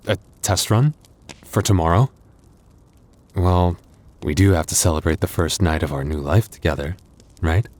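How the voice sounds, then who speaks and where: A man voicing a tender, bashful young werewolf boyfriend in an intimate ASMR roleplay, halting and stammering, with breathy little laughs and sighs. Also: low-pitched, darkish tone. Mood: flustered, affectionate.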